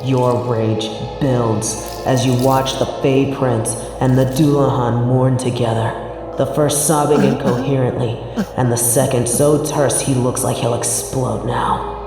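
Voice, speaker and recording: A man's voice: medium pitch, steady tone, unhurried. A man narrating a scripted second-person story as its narrator, with heavy reverb on the voice. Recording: long echoing reverb, music underneath. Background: music.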